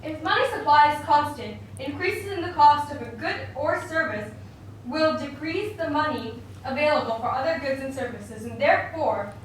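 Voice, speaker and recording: A woman voicing an opinionated male economist puppet in a scripted mock interview, lecturing emphatically and dismissively. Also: sits high. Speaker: interview guest. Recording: very echoey room, distant mic, faint noise.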